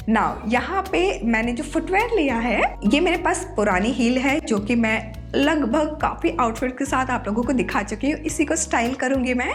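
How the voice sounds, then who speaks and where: Chatty high-voiced woman, slightly sore throat. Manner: chatty, mixes English into Hindi. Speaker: fashion vlogger. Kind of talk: casual haul review to camera.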